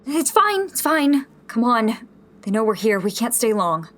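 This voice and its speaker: A woman voicing a determined young rebel leader who gives steady orders. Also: fairly high pitch, a little slow. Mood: urgent. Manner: steady, directing, urgent in action.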